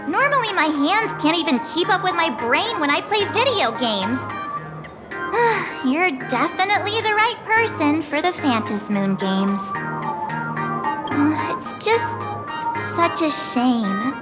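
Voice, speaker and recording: A bubbly, high-pitched young heroine. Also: female voice, animated, takes it slowly. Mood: wistful. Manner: bubbly, self-deprecating, caring. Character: cheerful, excitable young girl adventurer. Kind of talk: video game dialogue. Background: game music.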